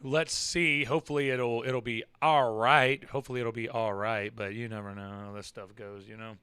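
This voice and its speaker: A man hosting a casual livestream, hoarse from yelling and talking in a rambling, swearing, chatty way with loud bursts of excitement. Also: mid-range voice, normal speed. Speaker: livestream show host. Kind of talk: casual livestream chat with viewers.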